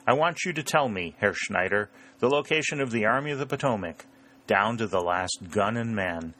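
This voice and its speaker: A man voicing a brash young rebel soldier in an audiobook, sharp, commanding and wry. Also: lowish voice, animated, normal speed. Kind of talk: audiobook character voice.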